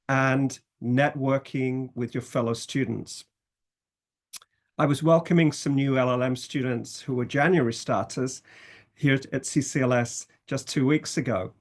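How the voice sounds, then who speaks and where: A middle-aged British man, a law professor, presenting a university programme in measured phrases with some fillers. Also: mid-range voice. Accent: British English. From Britain, family from Scotland, raised in England.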